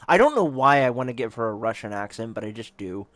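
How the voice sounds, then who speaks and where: A man's voice: medium pitch, sing-song, quick pace, a bit bright, dry recording. A man chatting casually and informally to viewers as host of a gaming channel, rambling a little. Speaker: host and player of a solo tabletop game channel.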